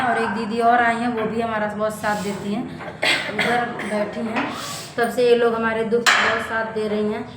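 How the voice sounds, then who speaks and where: A young woman with a Bhojpuri accent from eastern Uttar Pradesh, confiding plaintively and casually to her vlog viewers and repeating her phrases. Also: fairly high pitch. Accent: Bhojpuri-accented Hindi, eastern Uttar Pradesh. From eastern Uttar Pradesh, India. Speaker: family vlogger. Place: indoors in a rented room. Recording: echoey room with clear background noise.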